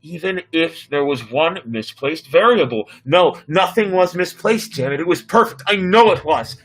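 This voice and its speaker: A man's voice: a little high, lively intonation, normal speed, a bit bright. A man acting an earnest, urgent scientist giving a dramatic warning in a sci-fi story reading. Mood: frantic. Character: urgent, grave scientist sending a doomsday warning.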